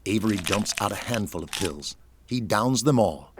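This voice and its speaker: A man's voice: on the low side, animated, normal speed, background noise. A man narrating a scripted audio drama, reading out the action as a storyteller. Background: music.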